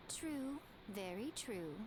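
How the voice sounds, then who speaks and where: A woman's voice: high voice, big pitch swings, drawn-out words, exaggerated voice. A woman voicing a sly, elegant, teasing fox shrine maiden with coy, theatrical delivery in an anime game. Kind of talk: anime video game voice acting. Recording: noisy game audio with background sound.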